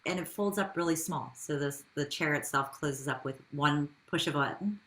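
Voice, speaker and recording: A woman's voice: low-pitched, moderate pace, a bit bright. A woman speaking as a business founder in an interview, telling her story in a conversational way with rhetorical questions.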